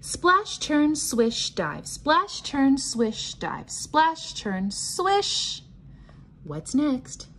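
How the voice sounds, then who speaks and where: A woman reading a picture book aloud to children and explaining it simply, in a sing-song, teacherly way with clear enunciation. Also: sits high, very animated, extremely slow, fairly bright tone, a little hiss or hum. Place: indoors, at a table.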